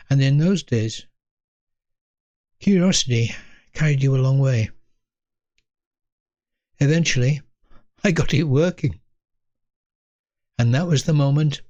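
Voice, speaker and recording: Slow-talking English man in his eighties. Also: mid-range voice, darkish tone, dry recording, highs cut off. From North East England. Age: 80s. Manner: unhurried, measured, pausing between short phrases. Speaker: storyteller, host of own video series. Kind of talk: reflective autobiographical storytelling to camera.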